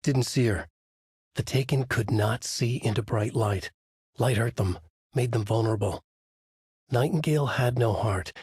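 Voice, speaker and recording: Brooding haunted writer for a video game, husky, dry close sound, tense noir narration, measured and dramatic. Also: male voice, lowish voice, a little mellow, no echo. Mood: brooding, grave. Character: brooding, haunted male writer narrating his dark story. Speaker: voice actor. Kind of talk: scripted first-person noir narration.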